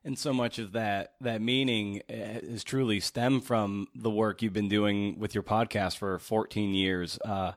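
Dry, low-pitched American male podcast host. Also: steady tone, measured pace, few pauses, no echo. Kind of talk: conversational long-form interview questions.

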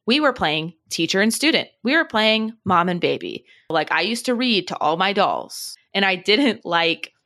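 A woman's voice: medium pitch, lively intonation, moderate pace, hardly any pauses, bright, forceful tone. A woman with an American accent co-hosting a podcast, chatting casually and telling personal stories in a candid, reflective way. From born in Germany, lived in England, in the US from age seven. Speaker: podcast co-host.

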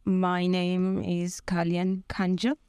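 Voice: mid-range voice, completely flat delivery, takes it slowly, darkish tone, clear, resonant tone, no echo, studio quality. An exam candidate from Zambia with a Zambian accent, giving careful, formal answers.

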